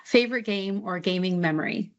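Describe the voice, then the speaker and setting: A woman's voice: medium pitch, fairly quick. A woman co-hosting a podcast over a remote video call, interviewing in a casual, conversational way. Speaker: podcast co-host. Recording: dull, lo-fi online call audio.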